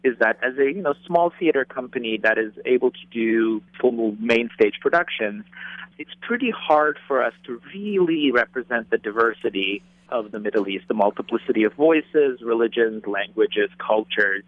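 A man from Turkey talks over a thin, tinny phone line, reflective and measured, pausing on small fillers. The sound is dry and close, with no room around it. His pitch sits in the middle of the male range. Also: animated, no echo. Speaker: theater director. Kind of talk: interview answers.

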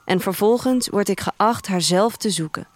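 An actress playing an introspective young woman who narrates her life in a dystopian future, in measured, deliberate first-person literary storytelling. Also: medium pitch, no room sound, close to an everyday voice. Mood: reflective. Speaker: narrator of a radio drama. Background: music.

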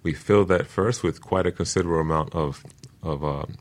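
A man with a low-pitched voice talks as a guest expert. He explains in a conversational, informal style. His delivery is measured, with passion for what he teaches.

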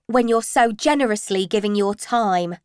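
A male high school history teacher, voiced by a woman narrator. He is formal and clipped, stern with a touch of awkwardness. It is storybook reading in a very dry space. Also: moderate pace, smooth, no echo, close to an everyday voice.

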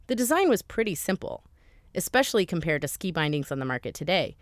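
A woman with a low voice narrates a documentary in a conversational, lightly wry way. Her pitch moves a lot from line to line. The sound is close and dry. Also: very animated, fast, no echo. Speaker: host.

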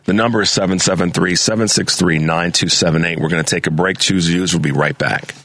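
A man's voice: sits low, fairly even intonation, fairly quick, fairly bright tone. A man hosting a talk radio show, delivering a fervent, preachy political monologue and repeating words as he gets worked up. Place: radio studio. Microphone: studio mic.

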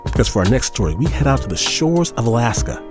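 Male American radio host, lively swooping pitch, playful and dramatic, speaking over a clear music bed. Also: quick pace. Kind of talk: theatrical storytelling show hosting.

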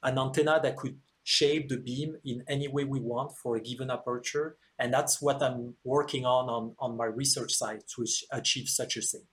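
Man, French accent, engineer guest, earnest, frequent fillers, mid-pitched. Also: steady tone, moderate pace, hardly any pauses. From France. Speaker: antenna engineer, podcast guest. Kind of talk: interview answers, explanatory.

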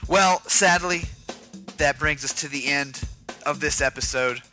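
A man's voice: a little high, a little slow, bright, forceful tone, soft background music. A man co-hosting a podcast, chatting and joking with enthusiasm. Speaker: podcast co-host. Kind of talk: casual podcast chat.

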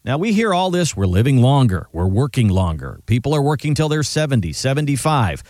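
A man's voice: medium pitch, big pitch swings, brisk, a little mellow, no room sound, studio quality. A man co-hosting a weekend radio show about retirement money, talking in an upbeat, chatty way and tossing leading questions to his co-host. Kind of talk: conversational radio finance talk.

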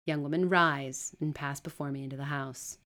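Woman acting calm, commanding gentleman. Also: sits low, moderate pace. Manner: formal, authoritative.

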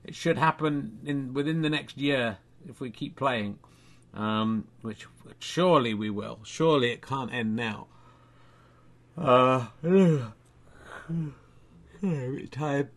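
A man hosting a comedy show, reading out made-up football scores in a dry, deadpan, rambling way. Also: medium pitch, slow, long pauses. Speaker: comedy podcast host. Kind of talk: mock football results reading and chat.

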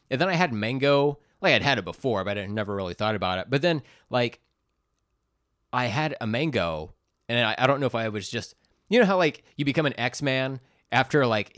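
Man, animated intonation, casual comedic banter, crude jokes, enthusiastic, podcast co-host, dry room sound. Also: mid-range voice, dry recording. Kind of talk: casual comedic banter, taste-testing sodas.

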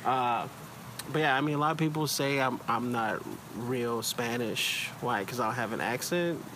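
A man's voice: medium pitch, moderate pace, background noise. A man with a Chicago accent in casual, slangy podcast chat, joking, swearing and rambling as a guest. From Chicago, USA.

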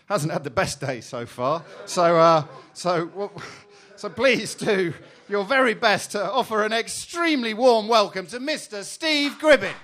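A man's voice: high voice, lively intonation, unhurried, a bit bright, a little hiss or hum. A man hosting a live comedy show from a pub room, introducing acts with wry jokes. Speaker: host.

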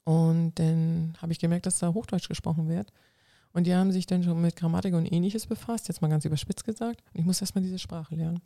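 Gravelly woman, earnest. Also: lowish voice, steady tone, a little fast, warm tone, no echo, studio quality. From North Frisia, northern Germany. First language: Low German. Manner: earnest, explains at length with restarts. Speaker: guest, coach and civil servant. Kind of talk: casual interview answers.

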